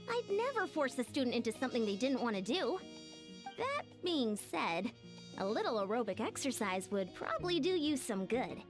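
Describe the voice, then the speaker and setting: A woman playing a confident, friendly, teasing fitness coach in a video game, upbeat and self-assured. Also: high-pitched. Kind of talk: video game character acting.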